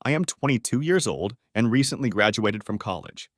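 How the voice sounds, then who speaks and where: A man teaching beginner English, reading short model sentences clearly and saying each one twice. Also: mid-range voice, expressive, no echo, clean studio sound. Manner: says each phrase twice, clearly and deliberately.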